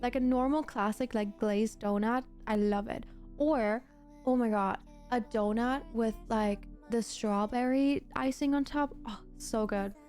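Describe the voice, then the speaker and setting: A woman's voice: fairly high pitch, unhurried, a little mellow, faint music behind. A woman from Germany, a native German speaker, livestreaming in a casual chat with viewers, gushing and emphatic and often repeating herself. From Germany, grew up in Berlin.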